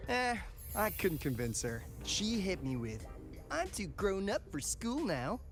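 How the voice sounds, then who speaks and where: A man's voice: high-pitched, wildly swooping pitch, unhurried, full character voice. A breezy male video game character, wry and teasing as he talks shop. His delivery swings up and down with lots of expression, and music plays underneath. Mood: playful, amused. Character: breezy, wry adult male academy insider briefing an auditor.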